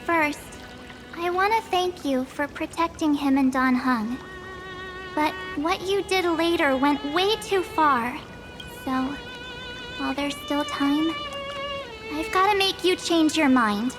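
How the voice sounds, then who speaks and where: A woman's voice: sits high, takes it slowly, over music. A woman playing a perky, stubborn teenage girl in a video game, bubbly, informal and a little boastful. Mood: earnest, confident. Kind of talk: video game character acting.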